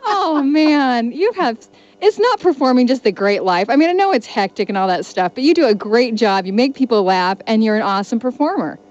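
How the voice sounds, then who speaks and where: A woman's voice: fairly high pitch, animated, fairly quick, a little mellow. A woman co-hosting a radio show, chatting casually with a gushing, playful manner. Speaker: radio co-host. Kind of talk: casual morning-radio interview chat. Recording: dull lo-fi radio aircheck.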